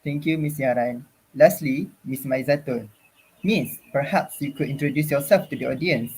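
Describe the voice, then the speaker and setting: A man's voice: medium pitch, normal speed, a little mellow, husky, rough recording. A young man from Malaysia moderating an online forum, speaking in a formal, ceremonious way over a video call.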